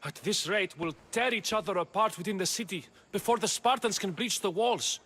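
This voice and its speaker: An actor playing a theatrical, indignant and easily frightened Athenian politician in a video game. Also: male voice, sits high, brisk, a bit bright, a little hiss or hum. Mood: aggrieved. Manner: agitated, theatrical, wordy.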